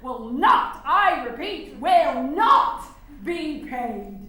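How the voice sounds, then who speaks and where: An indignant, unyielding suffragist played by a woman addresses a courtroom in a high voice. She declaims with deliberate emphasis, as oratory on a stage. The room is heavily reverberant. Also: expressive, bright, forceful tone, slight background noise, heavily acted. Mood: defiant, impassioned. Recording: very echoey hall stage recording.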